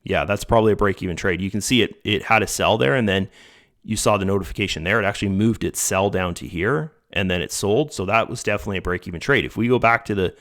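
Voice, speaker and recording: A man with a lowish voice that is going a little hoarse from overuse. He rambles casually through what he shows on screen, stopping to correct himself and talking straight to his viewers. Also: fast talker, fairly bright tone. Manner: rambling, self-correcting, addresses viewers as guys. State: slightly hoarse, losing his voice. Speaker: channel host reviewing a trading bot. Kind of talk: casual screen-share commentary.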